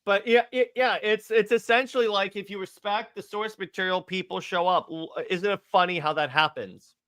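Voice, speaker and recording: A man's voice: high voice, fairly even intonation, normal speed, a bit bright. A man co-hosting a casual pop culture discussion, talking in an emphatic, opinionated way and often repeating himself for stress. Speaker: podcast co-host.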